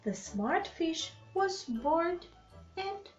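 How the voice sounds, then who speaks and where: A woman reading a children's bedtime story aloud in an expressive, deliberate way, with asides to young listeners. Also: very high voice. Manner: slow, deliberate, animated phrasing with questions to the child listeners. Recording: slightly dull, lo-fi sound with music.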